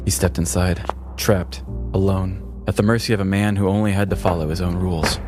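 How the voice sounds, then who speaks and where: Guy reading a funny scripted story, low voice, dramatic, music behind him. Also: steady tone, brisk. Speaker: narrator and podcast host. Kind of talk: scripted comedic story narration.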